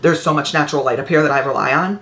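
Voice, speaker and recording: A woman book vlogger talking in a casual, heartfelt way, her voice a little raspy and hoarse after being ill. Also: low voice, racing, a bit bright. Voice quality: slightly raspy. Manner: chatty and heartfelt. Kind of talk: casual vlog chat. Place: upstairs room at home.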